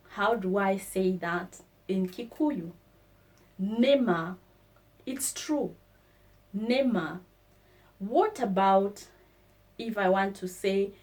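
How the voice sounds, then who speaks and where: A woman teaching vocabulary, saying each word slowly and repeating it. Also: mid-range voice. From Kenya. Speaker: language teacher. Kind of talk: slow vocabulary lesson.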